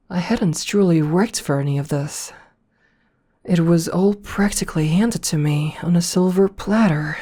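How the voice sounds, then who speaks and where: A woman performs a seductive, self-assured female CEO with a possessive streak in an ASMR audio drama. Her voice sits low and soft-edged, dark in tone. She unspools her story very slowly and deliberately, like someone used to being obeyed. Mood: melancholy. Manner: slow, deliberate first-person storytelling. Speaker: voice actor.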